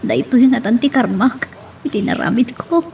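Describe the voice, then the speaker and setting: A woman's voice: a little high, moderate pace, gravelly, darkish tone, a little hiss or hum. A woman playing a plaintive, guilt-ridden pregnant migrant worker in an audio drama. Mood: remorseful.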